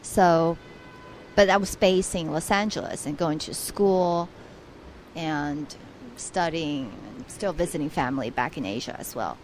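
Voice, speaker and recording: Soft-voiced woman from Taiwan. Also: sits low, measured pace, a little hiss or hum, rough recording. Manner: earnest, hesitant with restarts. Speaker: guest. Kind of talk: radio interview.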